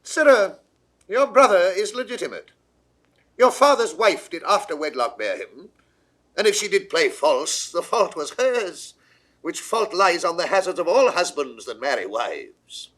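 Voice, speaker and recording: A commanding British monarch in a classical 1960s audio drama. His pitched-up delivery is highly animated and declamatory. He speaks with shrewd, regal authority. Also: a little slow, full character voice, rough recording. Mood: judicial, measured. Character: imperious, shrewd medieval king of England.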